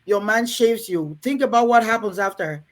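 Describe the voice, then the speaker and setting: A woman's voice: medium pitch, moderate pace. A woman from Cameroon hosting a frank talk to women in a room, earnest and coaxing, often turning to the ladies in her audience. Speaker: host.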